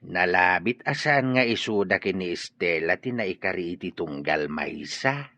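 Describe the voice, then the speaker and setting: A man playing a caring middle-aged father in a radio drama, speaking gently. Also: low-pitched, lively intonation, measured pace, no echo, full character voice. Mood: friendly. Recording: dry muffled studio audio.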